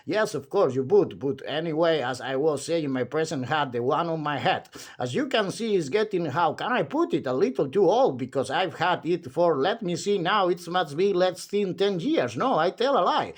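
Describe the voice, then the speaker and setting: Male reader as cheerful storybook chatterbox: high, swooping voice, fussy, self-interrupting, endlessly rambling. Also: animated, moderate pace.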